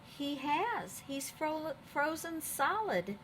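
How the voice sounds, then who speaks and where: Naive herd elephant for a picture book, voiced by a woman, animated, bright, full of exclamation. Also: high-pitched, slow pace, slight background noise, voice pushed up, heavily acted. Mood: surprised. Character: herd elephants, unsure, easily impressed, childlike followers. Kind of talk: children's storybook read-aloud.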